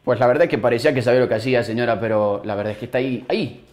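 A man's voice: mid-range voice, racing, darkish tone. A man in his twenties with a Mexican accent, bantering playfully as the host of phone pranks. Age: 20s. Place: small indoor studio room.

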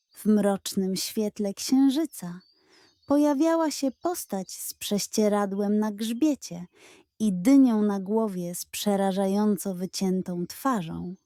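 A woman narrating a bedtime story for children in a gentle, expressive way, close to the mic. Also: medium pitch, dry recording.

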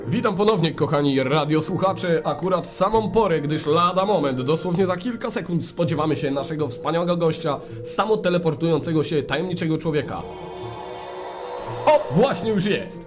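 A man's voice: fairly high pitch, animated, normal speed, over music, close to an everyday voice. A man playing a mock-serious, wordy and theatrically polite phone-in host in a parody radio play. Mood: showman-like. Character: glib, mock-solemn male radio phone-in host, secretly fed up.

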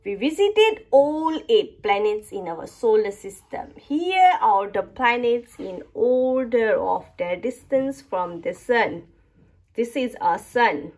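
A woman reading a picture book aloud to young children in an expressive, deliberate storytelling manner. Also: fairly high pitch. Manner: animated, slow, deliberate storytelling delivery. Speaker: children's book reader and channel host.

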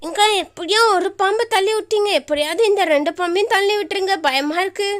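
Woman voicing a squeaky, timid young village wife. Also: quick pace, slight background noise. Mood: anxious, pleading. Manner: fretful, pleading, repetitive. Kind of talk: animated story dialogue.

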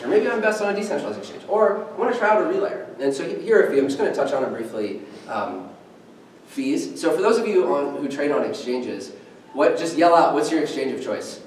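A man's voice: on the high side, distant mic, a little hiss or hum. Young man presenting in an echoey room. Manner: energetic, conversational, engages the audience with questions. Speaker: startup presenter at a meetup. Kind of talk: conference presentation. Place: meeting room with a screen and podium. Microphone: far camera, no mic.

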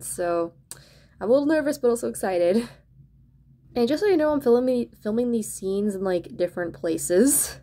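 A woman's voice: medium pitch, dark tone. A woman host giving a casual, apologetic and pleading update to her online viewers. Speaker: channel host and amateur animator.

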